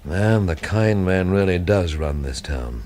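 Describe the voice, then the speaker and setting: An actor playing a cynical English investigator who narrates his own story in a deadpan, sardonic radio drama style. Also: sits low, measured pace. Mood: brooding, wry.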